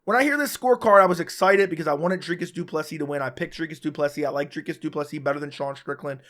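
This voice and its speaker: Hoarse man doing a fight recap. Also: fairly high pitch, fairly bright tone. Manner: casual, opinionated, talks fast in bursts with restarts. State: sick, losing his voice. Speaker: MMA commentator, channel host. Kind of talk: solo post-fight recap to camera.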